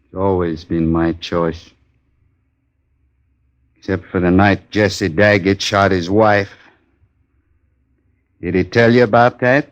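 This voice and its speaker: An American actor playing a coolly confident, menacing outlaw gunman in an unhurried, taunting 1950s radio western style. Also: deep voice, fairly even intonation. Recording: dull old radio recording.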